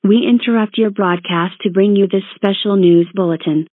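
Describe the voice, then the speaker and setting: A woman reading a scripted emergency broadcast announcement in a formal, measured, synthetic-sounding way. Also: medium pitch, no echo. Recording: narrow tinny phone-like band.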